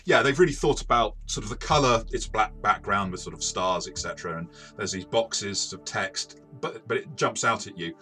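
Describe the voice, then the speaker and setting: Bright, low-pitched man reviewing games. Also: normal speed, few pauses, soft background music. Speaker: co-host of a role-playing game review show. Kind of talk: casual review discussion.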